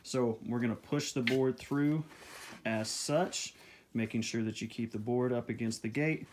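A man talks through each cut in his home workshop, recorded on a camera mic close to the table saw. He speaks plainly at a middle pitch, repeats the numbers and checks in with "okay?" after each step. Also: steady tone, measured pace, a little mellow, a little hiss or hum. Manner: plain, repeats measurements, ends steps with "okay?". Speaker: beekeeping video host. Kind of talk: step-by-step woodworking instruction.

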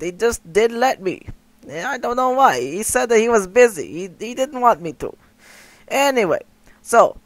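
Male performer voicing a zany, squeaky-voiced cooking host; rambles, trips over words. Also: fairly bright tone, no room sound. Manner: rambling, silly, self-interrupting. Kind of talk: comedic game-video voice acting.

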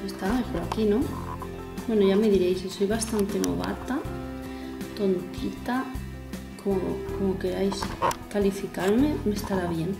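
A woman's voice: mid-range voice, normal speed, creaky, long pauses. A woman, chatting casually to camera in a rambling way with self-mocking asides. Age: 40s. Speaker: vlogger. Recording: echoey room with faint music.

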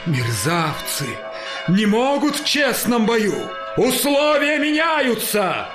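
A stately old-fashioned prince from a cartoon, grand and declamatory. The voice is high and swings widely, with clear music underneath. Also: male voice, wildly swooping pitch, slow, roomy sound, heavily acted. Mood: indignant. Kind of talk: cartoon voice acting.

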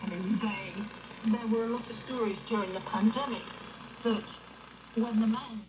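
A woman's voice: a little high, normal speed. A woman in a reflective, articulate radio discussion, heard through a shortwave radio. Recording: muffled, noisy shortwave radio broadcast.

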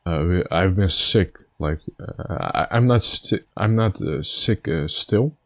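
A man with a slightly raspy, stuffed-up voice giving casual gameplay commentary, thinking aloud in a halting way. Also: low-pitched, expressive. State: stuffy nose after being sick. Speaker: gaming video host.

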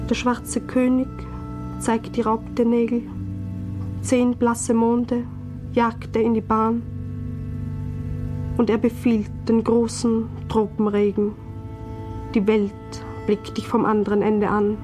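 A woman reciting poetry in a measured, solemn manner. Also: fairly high pitch, completely flat delivery. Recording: music under the voice.

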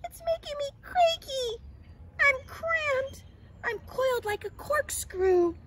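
A woman's voice: a little high, wildly swooping pitch, moderate pace, a bit bright. A woman voicing a scornful, conceited female caterpillar in a storybook, sneering and complaining. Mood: cranky, whining. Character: scornful, conceited young caterpillar who whines and mocks.

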